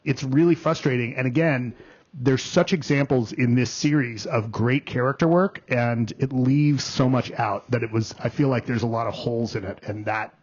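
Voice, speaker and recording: Mid-pitched emphatic man, slightly dull sound. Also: moderate pace, a little mellow. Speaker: film critic co-hosting a review show. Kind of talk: casual panel review chat. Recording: slightly dull, band-limited audio.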